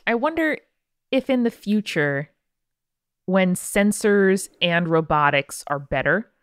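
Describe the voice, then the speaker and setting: A woman's voice: sits low, fairly bright tone, smooth, dry recording, studio quality. A woman co-hosting a podcast, chatting and commenting on stories in a joking, slangy way. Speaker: podcast co-host. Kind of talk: casual chat, commentary on stories.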